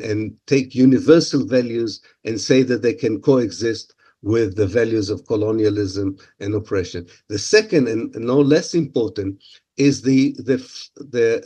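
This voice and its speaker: A man's voice: fairly low pitch, mellow. A man whose first language is Hebrew, from Israel, answering interview questions with many filler sounds and restarts.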